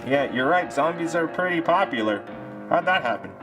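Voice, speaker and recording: Curious robot kid, played by a man: high, lively, naive, music behind. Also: moderate pace, close to an everyday voice, poor audio. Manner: inquisitive, naive. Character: curious young robot son asking questions.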